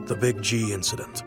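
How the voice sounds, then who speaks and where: A man playing a proud, genial young merchant in a video game, confident and conversational with a wry touch. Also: lowish voice. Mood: pensive. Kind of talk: video game character acting. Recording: faint music behind the voice.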